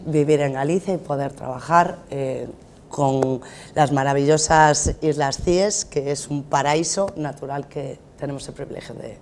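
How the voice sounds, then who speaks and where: Deep-voiced, effusive woman from Madrid. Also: measured pace, slight background noise. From Madrid, Spain. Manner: warm, enthusiastic, effusive. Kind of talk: casual debate talk. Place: TV studio.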